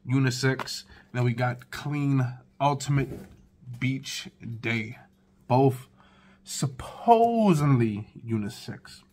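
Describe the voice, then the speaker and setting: A man in his thirties giving a casual, chatty first-impressions review of fragrances from his living room, talking straight to his viewers. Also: mid-range voice. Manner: laid-back, chatty, talks straight to viewers.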